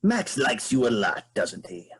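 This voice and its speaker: A man voicing an irritable, scheming moose queen in a staged comedy reading, dry and deliberate. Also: medium pitch, sing-song, background noise. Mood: coaxing.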